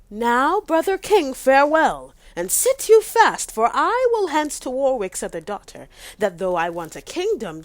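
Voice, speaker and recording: Woman playing resentful younger royal brother, shakespeare verse, pointed and lively, dry close sound. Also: high voice, dry recording. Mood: defiant. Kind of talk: Shakespearean audio drama acting.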